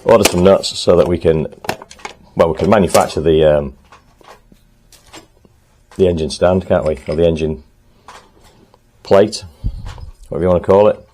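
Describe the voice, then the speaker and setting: A man talking casually to the camera in his home workshop, thinking aloud as he works, a little hoarse. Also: low-pitched, darkish tone, a little hiss or hum. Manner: chatty, thinks aloud while working, frequent ums. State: slightly hoarse, clearing throat. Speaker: motorcycle restorer and channel host.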